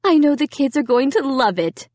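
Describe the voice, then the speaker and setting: A woman's voice: sits high, lively intonation, moderate pace, a little mellow, dry recording, voice pushed up. A woman voicing a young mother who grows impatient and pushy with the storyteller.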